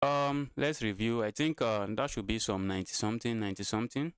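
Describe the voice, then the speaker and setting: A man's voice: lowish voice, fairly quick, clear, resonant tone, no echo, studio quality. A man in his twenties giving casual live-stream chess commentary, talking to his viewers and repeating his own phrases. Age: 20s.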